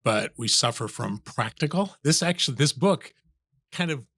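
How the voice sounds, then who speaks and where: A man's voice: medium pitch, animated, normal speed, a bit bright, breathy edge, no room sound, clean studio sound. A man hosting a podcast, giving a polished, enthusiastic introduction and asking questions. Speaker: podcast host.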